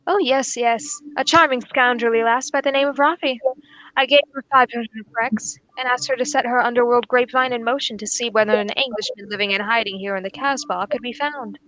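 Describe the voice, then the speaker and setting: A woman playing a shrewd, unflappable English gentleman detective in a radio drama, speaking in a measured, politely formal way. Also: fairly high pitch, fairly even intonation, rough recording. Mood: calm, confiding. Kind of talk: 1940s radio drama acting.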